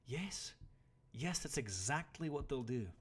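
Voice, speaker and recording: A man's voice: mid-range voice, very animated, takes it slowly, slightly rough, voice pushed up, heavily acted. A man voicing a stoic, blunt older warrior mentor who scolds his pupil in an audiobook story. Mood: stern.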